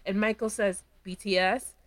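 A woman co-hosting a podcast, recapping a reality show in casual chat, animated, laughing often and acting out quotes. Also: mid-range voice. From Dominican heritage. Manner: animated, talks with her hands, quick laughs, acts out quotes. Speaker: podcast co-host.

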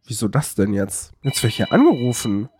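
A man's voice: mid-range voice, sing-song, brisk, a little mellow, slight background noise. A man co-hosting a podcast in casual chat, rambling with lots of fillers and self-corrections. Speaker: podcast co-host.

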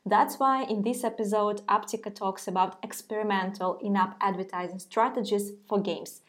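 A woman's voice: mid-range voice, steady tone, normal speed, fairly bright tone. A woman hosting a podcast, asking long questions with many fillers. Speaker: podcast host.

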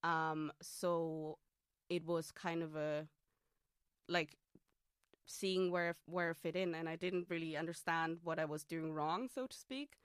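A non-binary speaker with a feminine voice in their late twenties, from Austria with an Austrian German accent and German as a first language, talking through a thoughtful interview with many fillers and restarts. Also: female voice, low-pitched, measured pace, clean tone, no room sound, studio quality. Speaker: guest. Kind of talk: casual interview chat.

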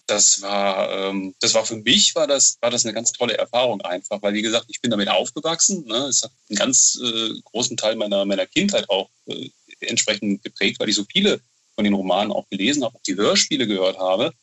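A man talking casually as an interview guest, telling stories haltingly, searching for words and adding frequent tag questions. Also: lowish voice, sharp tone, highs cut off. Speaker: novelist and TV writer, interview guest. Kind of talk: casual interview chat, storytelling.

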